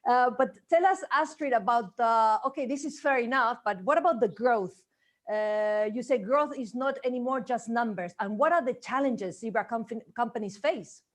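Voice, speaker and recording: A woman's voice: on the high side, normal speed. A woman hosting an online interview, asking long questions with frequent hesitations. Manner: hesitant, many "uh" fillers. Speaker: interview host.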